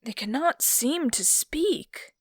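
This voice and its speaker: A woman's voice: high voice, expressive, takes it slowly, dry recording. A woman playing an eager, caring knight in a fantasy audio drama. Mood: eager, worried.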